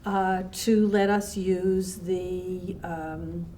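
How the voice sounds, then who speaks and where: A woman recalling her work as a festival co-founder in a conversational documentary interview, haltingly searching for words. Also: fairly low pitch, fairly even intonation, mellow, faint music behind. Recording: distant mic with background noise.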